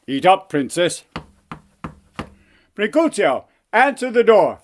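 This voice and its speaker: A man voicing a genial but firm fatherly king in a comic fairy tale, speaking grandly and deliberately. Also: high-pitched, very animated, slight background noise, voice pushed up, heavily acted. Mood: genial, commanding.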